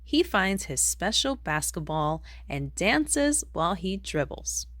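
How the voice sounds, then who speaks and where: A woman reading a rhyming children's picture book aloud in an expressive storytelling manner. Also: lowish voice, slow, no room sound. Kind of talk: read-aloud storybook narration in rhyme.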